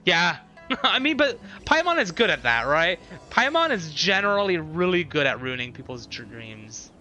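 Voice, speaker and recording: A man's voice: fairly high pitch, very animated, normal speed, bright, forceful tone, faint music behind. A man chatting casually with viewers as he streams a game, repeating short phrases and thinking aloud.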